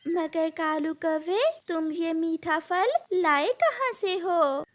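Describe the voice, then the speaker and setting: A woman voicing a timid, caring mother bird with an anxious, motherly manner in an animated children's story. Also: very high voice, slow pace, voice pushed up. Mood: curious.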